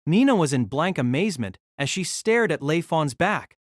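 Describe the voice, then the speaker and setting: High-pitched male narrator, dry sound. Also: very animated, normal speed, no room sound. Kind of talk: scripted dramatic narration.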